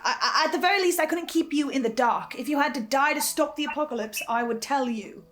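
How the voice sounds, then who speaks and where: A woman's voice: high voice, fast, fairly bright tone. A woman playing a nervous, earnest, apologetic researcher in a naturalistic audio drama, stammering and repeating words. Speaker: host of a listen-along show.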